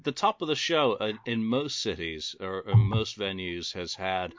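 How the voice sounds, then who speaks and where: A man's voice: lowish voice, lively intonation, unhurried, a bit bright, dry recording, lo-fi sound. A man hosting a casual panel discussion, asking and explaining things in wordy detail. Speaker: host questioning a guest.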